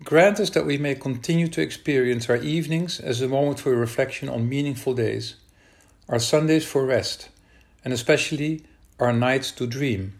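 A man with a Dutch accent leading a worship service, reading scripture and praying in a calm, measured way. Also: mid-range voice.

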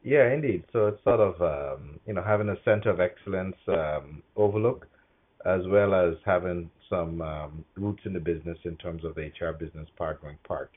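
A middle-aged man from Guyana answering interview questions as a corporate executive, speaking in a measured, hesitant way with frequent pauses. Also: low-pitched, breathy edge, phone-quality sound.

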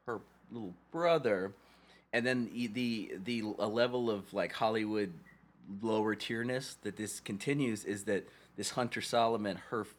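A podcast host with a lowish male voice. He rambles through a story, stopping and restarting as he goes, in a casual chat.

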